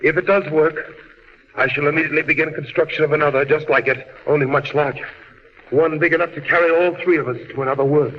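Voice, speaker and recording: A high-pitched, impassioned scientist from another world speaks like a prophet of doom, grave and oratorical a man acting in an old radio play. The sound is muffled, with hiss and a long reverberant tail. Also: steady tone, quick pace, natural voice. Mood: subdued, hurried. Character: impassioned alien scientist warning of doom, husband and father. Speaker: radio drama actor. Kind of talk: 1940s radio drama acting.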